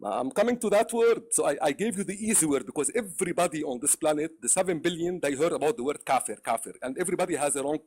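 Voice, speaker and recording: A man with an Arabic accent and Arabic as his first language, calling into a live debate, talking haltingly with many fillers and repeated words. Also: fairly high pitch, lively intonation, a little mellow, breathy edge. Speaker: caller.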